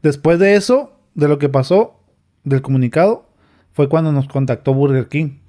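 A young man, telling a story as a podcast guest, animated, acting out quoted comments in a raised voice. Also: a little high, moderate pace. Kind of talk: casual storytelling. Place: small studio with a sofa. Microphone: studio mic on a boom arm, wearing headphones.

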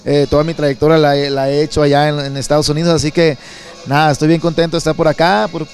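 A Mexican man in his thirties from Sinaloa, answering interview questions at an indoor expo booth into a handheld mic, relaxed and rambling with many repeated words. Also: on the high side, fast talker, faint music behind. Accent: Sinaloan Mexican Spanish. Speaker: songwriter and singer.

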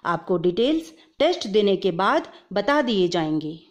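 A woman's voice: medium pitch, sing-song, measured pace. A woman giving an explanatory tutorial voice-over step by step, mixing English terms into her speech. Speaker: video presenter explaining instructions.

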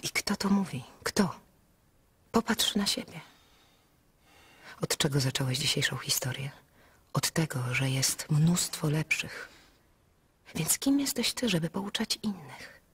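An actress playing Jacqueline, a sharp, probing woman, in a radio drama, speaking in a reproachful half-whisper. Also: low voice, lively intonation, measured pace, long pauses, breathy edge, exaggerated voice. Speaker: actor in a radio play.

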